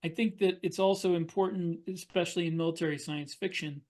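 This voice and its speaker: A man on a podcast panel talking casually, halting and repeating words as he thinks. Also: sits high, steady tone, darkish tone. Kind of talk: casual discussion.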